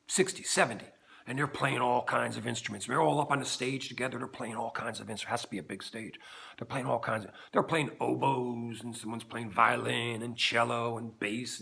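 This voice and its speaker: A middle-aged man with a low bass voice, leading a playful music lesson for children and checking in often with the listener. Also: creaky. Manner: animated, silly, keeps checking in with "right?".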